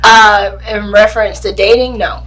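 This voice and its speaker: A woman in her thirties, a first-time podcast guest, quiet and brief. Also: mid-range voice, steady tone, moderate pace, heavy background noise. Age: 30s. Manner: reserved, brief remarks. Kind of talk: casual podcast chat.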